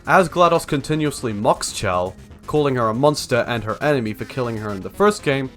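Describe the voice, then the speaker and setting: A man's voice: mid-range voice, lively intonation, fairly quick, fairly bright tone, faint music behind. A young man reading a scripted video essay as an analytical narrator.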